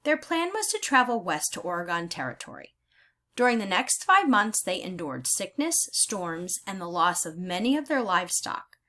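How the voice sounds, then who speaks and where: A woman reads aloud in the manner of a teacher leading a lesson. Her pitch is very lively, rising and falling widely around a middle register. She phrases things deliberately and emphatically, as if explaining to young students. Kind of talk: instructional read-aloud of a children's history book.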